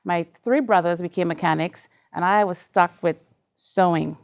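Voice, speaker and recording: A woman's voice: on the low side, normal speed, a little hiss or hum. Chatty Trinidadian woman telling her story. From Trinidad and Tobago. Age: middle-aged. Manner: chatty, candid, laughs along with the audience. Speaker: speaker. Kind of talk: personal story talk to a live audience.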